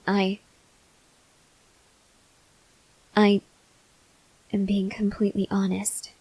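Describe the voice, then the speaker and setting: A woman playing an earnest, desperate female vampire scientist in an ASMR roleplay, speaking haltingly in a breathy voice that drifts into whispers. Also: mid-range voice, warm tone, no room sound, rough recording. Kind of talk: ASMR roleplay, slow dramatic monologue to the listener.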